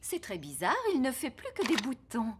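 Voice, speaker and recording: A gracious cartoon fairy queen and mother. She sounds polite, high and melodic in range, with noticeable noise behind her. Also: big pitch swings, moderate pace. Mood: puzzled. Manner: polite, composed, well-mannered.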